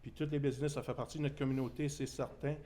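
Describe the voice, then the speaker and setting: A man's voice: mid-range voice, flat delivery, fast, warm tone, slight background noise. A man with a Québécois French accent from Témiscamingue, speaking at a press conference, earnest and indignant about layoffs. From Témiscamingue, Quebec.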